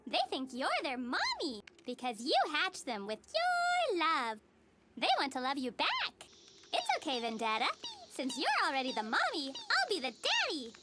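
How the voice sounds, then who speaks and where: A woman voicing a relentlessly cheerful, naive little girl in a children's cartoon, squeaky, bubbly and gushing, with a sing-song delivery. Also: wildly swooping pitch, moderate pace, heavy background noise. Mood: delighted. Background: playful children's music.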